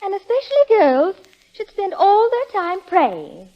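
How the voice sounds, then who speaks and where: High-voiced, chirpy, naive young woman. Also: huge pitch swings, a little slow, heavily acted. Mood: amused. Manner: bubbly, artless, indignant when scolded. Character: cheerful, naive, chatty working-class young woman. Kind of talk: 1940s radio acting. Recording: dull vintage radio recording.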